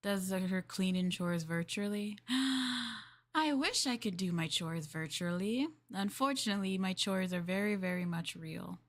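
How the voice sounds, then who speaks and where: Woman, mid-pitched voice, livestream host, polite maid roleplay, chatty, soft near-whispered moments. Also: a little slow. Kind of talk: casual roleplay chat with livestream viewers.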